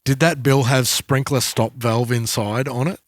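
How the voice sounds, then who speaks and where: A middle-aged Australian man in casual podcast chat, slangy and quick to chime in. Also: medium pitch, steady tone, no echo, clean studio sound. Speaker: podcast co-host.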